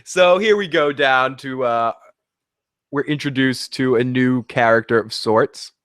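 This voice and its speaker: Boston dude with a cold doing a chill episode recap, mid pitch, rambly and cursing, dry sound. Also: male voice, a little slow, fairly bright tone, clean tone, dry recording. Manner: rambling, restarts and swears freely. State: sick with the sniffles. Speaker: show recap host. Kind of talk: casual episode recap and review.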